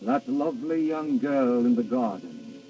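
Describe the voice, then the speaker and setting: An actor as courtly, theatrical Death, muffled old radio. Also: male voice, mid-range voice, lively intonation, warm tone, lightly acted. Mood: grandly calm. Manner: measured, theatrical, formal diction. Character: Death in human form, courtly, mysterious, eerily calm. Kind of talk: vintage radio drama acting. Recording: muffled old radio recording, distant, music behind.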